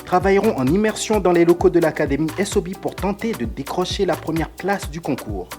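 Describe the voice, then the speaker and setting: A man's voice: high-pitched, lively intonation, a little fast, soft background music. A man giving a formal, scripted voice-over narration.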